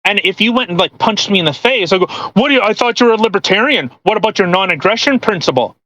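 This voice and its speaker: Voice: mid-range voice, animated, fast talker, sharp tone, dry recording, rough recording. A podcast co-host giving casual, sweary, mocking commentary while reading a written post aloud.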